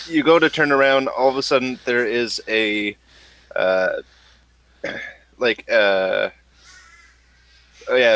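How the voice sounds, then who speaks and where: Halting man running a tabletop game. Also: lowish voice, a bit bright. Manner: halting, improvised narration. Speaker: game master. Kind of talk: narrating a tabletop role-playing game.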